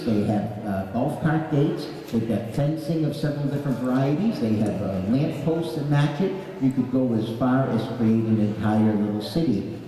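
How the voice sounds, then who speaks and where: Distant man pitching products in a hall. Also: mellow. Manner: enthusiastic product promotion. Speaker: auction pitchman. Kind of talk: sales pitch. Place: large indoor auction showroom.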